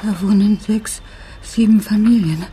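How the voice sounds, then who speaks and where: An actress in a radio crime drama playing a frightened middle-aged teacher, stammering, pleading and repeating herself. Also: female voice, medium pitch, measured pace, heavy background noise, lightly acted. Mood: shocked, upset. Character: frightened, pleading forty-year-old female teacher being stalked.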